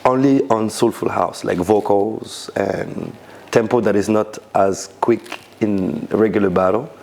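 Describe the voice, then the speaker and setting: Man, low voice, gravelly, rambling restarts, casual interview. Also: a little slow, darkish tone. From Senegal, raised in France. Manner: loose, searching phrasing with many restarts. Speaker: dancer, DJ and event founder.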